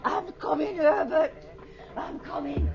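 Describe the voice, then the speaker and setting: A woman's voice: high-pitched, huge pitch swings, slow pace, over music, heavily acted. A woman playing a desperate middle-aged mother grieving for her dead son in a radio horror drama, anguished, pleading and intense. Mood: frantic, yearning. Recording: dull, noisy old radio drama recording.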